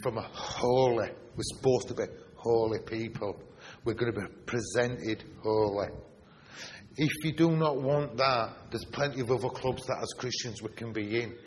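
Yorkshire preacher in an echoing hall. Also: male voice, mid-range voice. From Yorkshire, England. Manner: emphatic, drawn-out stresses with rhetorical questions. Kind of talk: sermon. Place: church hall. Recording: reverberant hall with faint noise.

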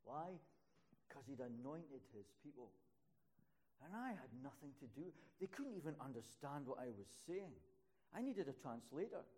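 An older man preaching a sermon and telling stories from his ministry, climbing to loud, high peaks when he stresses a point. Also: very animated, moderate pace.

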